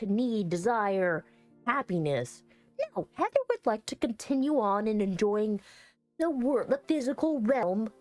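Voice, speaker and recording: A woman streaming a visual novel, reading dialogue aloud and adding rambling commentary in a halting, musing way. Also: mid-range voice, a little mellow, dry recording.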